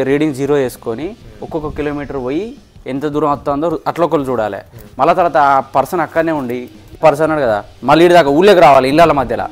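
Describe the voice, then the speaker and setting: A man with a Telangana Telugu accent chatting casually as a vlogger, explaining step by step with examples to his friends. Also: fairly high pitch. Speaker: vlogger demonstrating a gadget.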